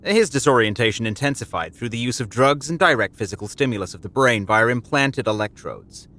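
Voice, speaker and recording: Guy playing a cold evil scientist doctor, matter of fact but pitch moves around a lot. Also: animated, a little fast, fairly bright tone, close to an everyday voice. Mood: clinical, detached. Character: cold clinical male doctor, calm torturer. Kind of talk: audio drama acting.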